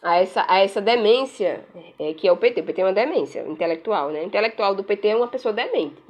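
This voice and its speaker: A woman's voice: medium pitch, lively intonation, quick pace, darkish tone. A woman giving casual political commentary while browsing pages on her screen, sarcastic and rambling, tagging sentences with questions. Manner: frequent "né?" tags, rambling, sarcastic.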